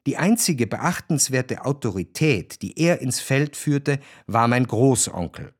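A man reads literary narration for a radio drama. His voice is mid-pitched, and he shapes long sentences with measured phrasing. The studio sound is dry and close. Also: animated, no echo.

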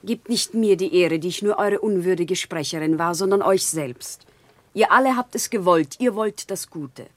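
A woman's voice: lowish voice, moderate pace, no room sound, lightly acted. A woman playing an earnest, tormented humble wife in a German radio drama, speaking in a solemn, fervent, declamatory way.

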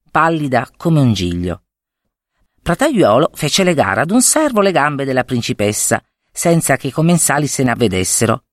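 Expressive woman reading a fairy tale. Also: very deep voice, no echo, studio quality. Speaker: storyteller.

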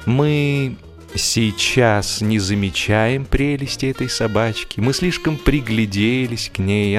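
A man narrating a humorous literary story in a theatrical, expressive way, with deliberate pauses and stretched words for emphasis. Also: on the low side. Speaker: audiobook narrator and actor.

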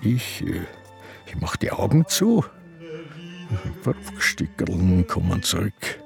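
An actor with a Viennese accent playing an elderly man in a sanatorium who slowly broods over his memories, halting and confessional. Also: lowish voice, creaky, a little mellow, full character voice. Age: older. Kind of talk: radio play acting with inner monologue.

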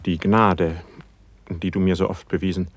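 Earnest brooding poet in classic radio verse play, low raspy voice, solemn and fervent. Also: male voice, little pitch movement, moderate pace. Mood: grateful, solemn. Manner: solemn, fervent, formal declamation. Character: earnest, brooding male poet, humble yet insistent. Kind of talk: classic German verse radio drama acting.

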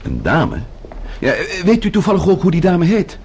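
A man's voice: high voice, very animated, moderate pace, a little mellow, slight background noise. An actor playing an anxious, wry husband in a Dutch radio play, talking urgently and drily with his friend. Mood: alarmed, urgent.

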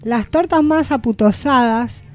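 Argentine woman, fairly high voice, casual anecdotal radio panel chat. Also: measured pace, darkish tone. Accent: Argentine Rioplatense.

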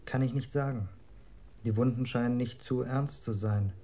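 An actor playing a matter-of-fact young hospital doctor in a radio crime drama, clinical and measured. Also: male voice, medium pitch, warm tone, lightly acted. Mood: matter-of-fact, grave.

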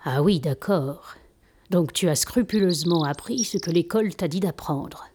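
Woman acting assured, low-voiced female mentor. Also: normal speed, darkish tone, a little hiss or hum, natural voice. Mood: calm, instructive. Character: seasoned female master, hospitable, blunt-spoken mentor. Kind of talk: audio drama acting.

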